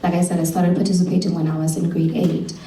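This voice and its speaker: A woman's voice: lowish voice, level tone, fast talker, mellow. A young South African woman speaking earnestly at a showcase about what she learned, with some restarts. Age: young adult. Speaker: program alumna and speaker. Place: event hall. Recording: roomy hall from far off with faint hum.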